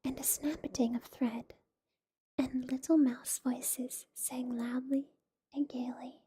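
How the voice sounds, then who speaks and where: Softly whispering woman reading a storybook. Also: sits high, level tone, husky. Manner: slow, gentle, deliberate reading. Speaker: storyteller streamer.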